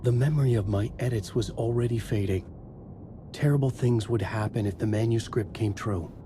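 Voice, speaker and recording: An actor playing a haunted middle-aged novelist, narrating a horror game in grave, terse, brooding sentences. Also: male voice, mid-range voice, normal speed, background noise, natural voice. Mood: grim, urgent. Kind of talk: scripted first-person narration in a horror video game.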